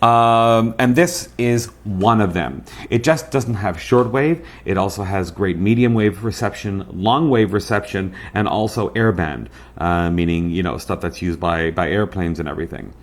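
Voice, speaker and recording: A man's voice: deep voice, normal speed, few pauses. A man hosting a product review in a casual, chatty way, often asking and answering his own questions and repeating words for emphasis. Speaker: host of a radio review show.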